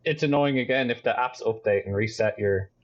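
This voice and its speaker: A man with a softened Irish accent, chatting easily as a podcast guest and often repeating words as he starts a sentence. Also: medium pitch, brisk, dull audio. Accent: Irish, softened international English. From Ireland. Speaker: guest, optics business owner. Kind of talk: casual podcast conversation.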